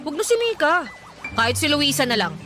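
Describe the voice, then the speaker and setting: A woman's voice: high-pitched, sing-song, a little fast, music in the background. An actress playing a sassy, protective young Filipino woman who teases and scolds in a radio drama. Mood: scolding. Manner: teasing, scolding, slangy.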